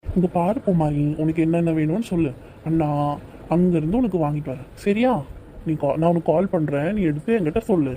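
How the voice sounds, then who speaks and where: A young man playing a soldier son who gently reassures and pleads with his family before leaving. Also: on the high side, fairly quick, slight background noise, natural voice, rough recording. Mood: gentle.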